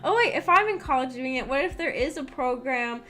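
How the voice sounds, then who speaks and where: A woman's voice: sits high, a little fast. A young adult woman chatting casually as a livestream host, telling rambling anecdotes in a giggly, filler-heavy way. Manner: giggly, animated storytelling with frequent "like". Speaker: streamer hosting a visual novel book club. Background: background music.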